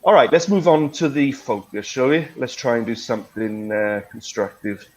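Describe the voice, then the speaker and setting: A man's voice: mid-range voice, moderate pace, a little mellow. A middle-aged man hosting a conversational online English lesson, phrasing things hesitantly with tag questions. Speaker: English teacher, webinar host.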